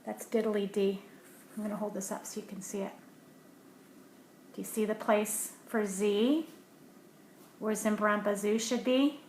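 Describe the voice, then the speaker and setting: A woman reading a picture book aloud to children, dropping to hushed asides about the pictures between livelier read lines. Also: medium pitch, steady tone, a little slow, long pauses. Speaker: storybook reader. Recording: roomy echo, faint background hiss.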